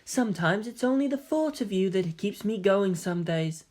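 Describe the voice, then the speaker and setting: A woman voicing a gentle, elegant teenage boy in a story reading, soft-spoken, polite and earnest. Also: medium pitch, expressive, normal speed, pitched above the performer's own voice, lightly acted. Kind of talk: dramatic fan fiction character reading.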